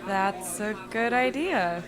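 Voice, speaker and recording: Actress as a slow, weary, withdrawn young woman. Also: mid-range voice, expressive, crowd noise. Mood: subdued. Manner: hesitant, understated. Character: weary, withdrawn working woman, quietly frustrated. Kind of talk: naturalistic stage acting in a livestreamed play.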